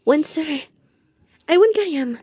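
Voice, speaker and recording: Casting: female actor for a caring, courteous young Ilocano Filipino secretary in a serial drama, high voice, dry recording. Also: lively intonation, takes it slowly, mellow, no room sound. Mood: respectful, friendly. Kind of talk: Ilocano drama series voice acting.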